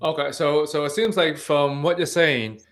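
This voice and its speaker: A man hosting a podcast, summarizing and asking halting, thoughtful questions. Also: fairly high pitch, fairly even intonation, a bit bright. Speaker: podcast host. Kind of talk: interview questions.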